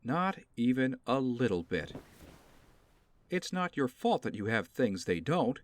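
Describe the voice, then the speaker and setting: An actor playing a doting, easygoing father in an audio drama, with a gentle, playful, coaxing manner. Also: mid-range voice, sing-song, measured pace. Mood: coaxing, comforting. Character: doting, easygoing wealthy father cheering up his daughter.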